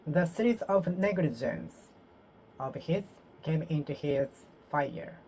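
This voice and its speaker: A Japanese woman with a Japanese accent practicing English aloud to herself, halting and repeating phrases as she corrects them. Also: sits low, dark tone, a little hiss or hum, low-quality recording. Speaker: vlogger. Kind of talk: solo English speaking practice, talking to herself.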